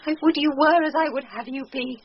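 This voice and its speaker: A woman's voice: high-pitched, fairly quick, natural voice. A British woman playing a proud, lovestruck noblewoman, speaking stately and ardent verse in a Shakespearean radio drama. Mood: urgent, lovestruck. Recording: muffled 1990s radio broadcast, faint noise.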